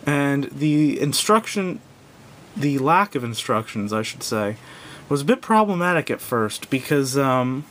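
Male reviewer, medium pitch, casual and unscripted, halting and self-correcting, home recording with slight rumbling noise. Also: sing-song, fairly bright tone. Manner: hesitant, rambling, self-correcting. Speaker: product reviewer. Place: indoors at a wooden table.

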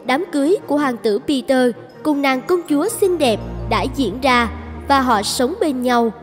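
A woman's voice: sits high, normal speed, big-room sound, soft background music, natural voice. A woman narrating a scripted fairy tale as a storybook narrator. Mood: storytelling.

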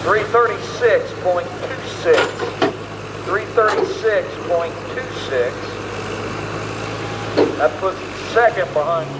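A man's voice: sits high, takes it slowly, long pauses. A man announcing a truck pull at an outdoor fairground, reading out each distance twice in short, clipped phrases over a PA. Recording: distant PA over loud engine noise. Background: roaring truck engines.

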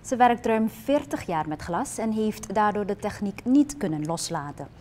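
A young woman presenting the news from a television studio in a measured, even newsreader delivery. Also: mid-range voice, slight background noise. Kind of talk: scripted news narration.

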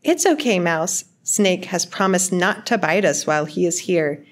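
A woman voicing a meek, kind young girl in a children's story, speaking softly and gently. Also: fairly low pitch, expressive, unhurried. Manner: soft, gentle.

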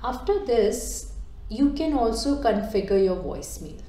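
A woman guides a software tutorial in a calm, step-by-step way. Her voice is mellow and soft-edged, and she pauses on frequent ums and uhs. The recording carries a noticeable room echo. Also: a little high. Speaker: digital transformation coach. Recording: echoey room.

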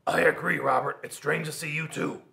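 A man's voice: a little high, steady tone, brisk, creaky, bright, forceful tone, full character voice. A man voicing a strict, bossy woman schoolteacher in a storybook, with a commanding, emphatic manner. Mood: stern, formal. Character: stern, bossy female schoolteacher, loud and strict.

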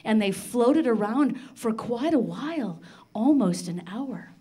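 An older woman telling a children's story live in an expressive, theatrical manner with dramatic pauses. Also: fairly high pitch, slightly rough. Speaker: storyteller on a children's radio show. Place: large library room, live before a seated audience. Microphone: handheld microphone.

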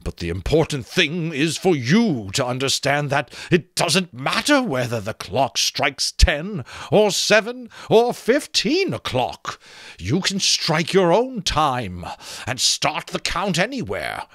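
A man voicing a teasing, wine-craving, worldly-wise talking skull from a fantasy storybook, with drawn-out words. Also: a little high, very animated, a bit bright, no echo, clean studio sound, pitched above the performer's own voice, full character voice. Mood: instructive.

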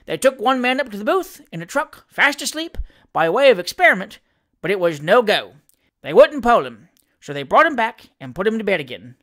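Breezy Cockney servant for a storybook, played by a man, cheeky and emphatic, with lively swings in pitch. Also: fairly quick. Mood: breezy, amused. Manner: emphatic, colloquial.

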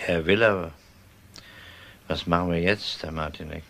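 A man playing a calm, unhurried police detective in a German crime radio drama, dry and politely ironic. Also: deep voice, vocal fry. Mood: dryly firm.

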